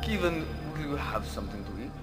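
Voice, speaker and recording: A man's voice: a little high, big pitch swings, fast talker. A man playing a pompous, villainous count in a comic melodrama, theatrical and gloating. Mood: lonely, doting. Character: pompous villainous count who dotes on his hamster. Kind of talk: comic melodrama audio acting. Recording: long reverb, loud music behind.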